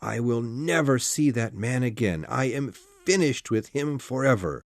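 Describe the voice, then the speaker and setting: Guy doing a pale nervous doctor, thin weak voice, slow and swoopy, dry close sound. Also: medium pitch, expressive, dry recording. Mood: agitated. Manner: insistent, anxious pleading. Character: pale shaken middle-aged male doctor, nervous. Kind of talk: audiobook dialogue.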